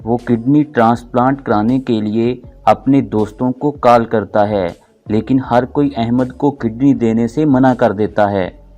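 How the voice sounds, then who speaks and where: A man's voice: medium pitch, a little slow, darkish tone, muffled recording. A man narrating a scripted moral story as storyteller.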